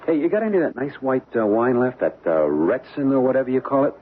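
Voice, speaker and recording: An actor playing a wary, easygoing young American husband in a radio drama, speaking in slangy, hesitant phrases. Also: male voice, medium pitch, very animated, quick pace, slightly rough. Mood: absorbed, upbeat. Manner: slangy, hesitant, repeating words. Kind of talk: old-time radio drama acting. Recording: tinny, band-limited vintage radio, hissy.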